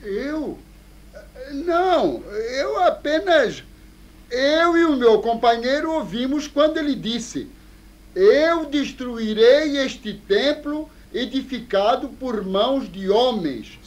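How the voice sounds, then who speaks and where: High, halting, timid witness. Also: male voice, lively intonation, heavily acted. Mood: nervous. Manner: halting, nervous. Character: hesitant, timid witness testifying before a tribunal. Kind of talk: radio drama acting.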